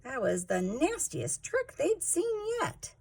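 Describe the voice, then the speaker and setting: A woman hosting storytime, reading a rhyming children's picture book aloud with expressive, rhythmic delivery. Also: high-pitched, takes it slowly, vocal fry, a little hiss or hum. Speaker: storytime host, reader.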